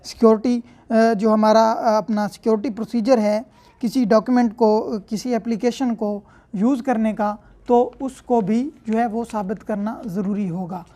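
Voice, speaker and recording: A woman's voice: a little high, steady tone, normal speed, warm tone. A woman with a Pakistani accent giving an explanatory law lecture, going step by step with everyday examples and frequent check-in tags.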